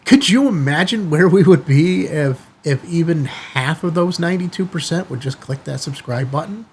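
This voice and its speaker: A man's voice: on the high side, moderate pace, darkish tone, slight background noise. A man hosting a casual product review vlog, talking conversationally and emphatically in short bursts. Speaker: YouTube channel host.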